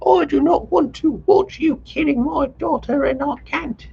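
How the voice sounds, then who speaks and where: A man voicing a frantic older mother who scolds and wails in a shrill, accusing voice. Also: measured pace, creaky, darkish tone, muffled recording, exaggerated voice. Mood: frantic scolding.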